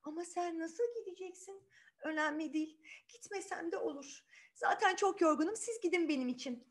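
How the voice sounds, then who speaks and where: A woman voicing a gentle, self-effacing young fairy-tale heroine with a plaintive, kind manner. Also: very high-pitched, normal speed, voice pushed up. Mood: resigned, sad.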